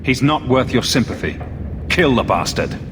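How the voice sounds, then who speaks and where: An actor playing a stern, pragmatic adventurer in a video game, firm and blunt. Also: male voice, medium pitch, sing-song, normal speed, background noise, lightly acted. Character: stern, pragmatic male adventurer leading companions. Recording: loud game music behind.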